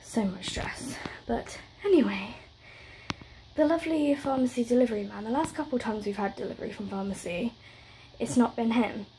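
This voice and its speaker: A woman's voice: fairly high pitch, normal speed, mellow, a little hiss or hum, rough recording. A young British woman vlogging at home in a rambling, chatty way, stressed and close to tears. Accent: British English. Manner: rambling, chatty, with many fillers and run-on stories. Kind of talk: casual chat to camera. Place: at home, indoors.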